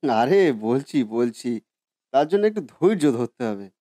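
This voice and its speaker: A man's voice: mid-range voice, big pitch swings, normal speed, mellow, dry recording, clean studio sound, lightly acted. A man voicing a genial, folksy village cook in a cartoon story, eager, reassuring and respectful. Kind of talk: Bengali cartoon story voice acting.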